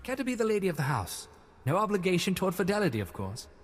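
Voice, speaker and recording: A smug, lecherous young lord of a video game. His husky voice swoops theatrically as he teases, with a long hall reverb around it. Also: high-pitched, very animated, fast, faint music behind. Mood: gloating. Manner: theatrical, teasing. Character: roguish, lecherous male noble, smug and flippant.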